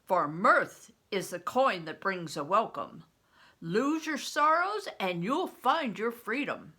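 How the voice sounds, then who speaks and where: A big, jovial man from a storybook, as a woman reader puts him on, preaching mirth. The delivery is slow, forceful and emphatic, with very lively pitch. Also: husky, full character voice. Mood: earnest, advising.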